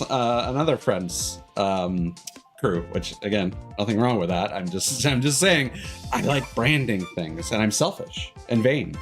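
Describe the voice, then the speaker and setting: A male live streamer talks in a medium-pitched voice over background music. He rambles casually through game commentary, self-deprecating and loose with swearing. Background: cartoonish upbeat game music.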